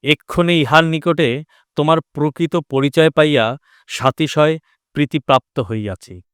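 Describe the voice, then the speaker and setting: A man's voice: on the high side, moderate pace, a bit bright, smooth, dry recording, clean studio sound, close to an everyday voice. A male actor playing a formal, authoritative caliph in an audio drama. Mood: gracious, pleased. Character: stern, commanding male caliph who can relent graciously. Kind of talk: Bengali audio drama acting.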